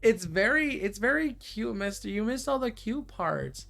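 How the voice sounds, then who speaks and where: A streamer reacting excitedly to a visual novel and bantering with chat. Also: medium pitch, normal speed, vocal fry, faint music behind. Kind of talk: live game commentary.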